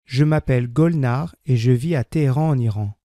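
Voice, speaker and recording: A man reading a first-person French text slowly and clearly for learners, repeating each sentence. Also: medium pitch, warm tone, dry recording, clean studio sound. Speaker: French language teacher. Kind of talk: slow scripted reading for learners.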